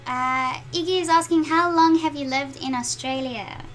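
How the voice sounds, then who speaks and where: A woman's voice: sits high, moderate pace, fairly bright tone, soft background music. A middle-aged woman with a South African accent, chatting to viewers and reading their comments aloud as she draws in her home studio. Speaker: art channel host. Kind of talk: live-stream drawing chat with viewers.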